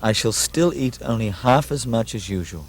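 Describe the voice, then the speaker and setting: A man playing a humble, serene ascetic holy man in a puppet show, calm and measured. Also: medium pitch, slight background noise, lightly acted. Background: music.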